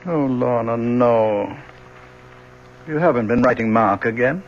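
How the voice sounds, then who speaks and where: A man's voice: mid-range voice, measured pace, close to an everyday voice. An actor playing a worried, exasperated older professor, a father pleading with his grown daughter in a radio drama. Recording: muffled old radio recording, faint noise.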